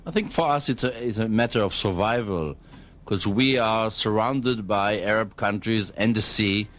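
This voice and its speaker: A man with an Israeli accent speaking as an official in a radio interview, choosing his words carefully and haltingly. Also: fairly low pitch, a bit bright. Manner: measured, careful, hesitant diplomatic phrasing. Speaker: government spokesman, radio guest.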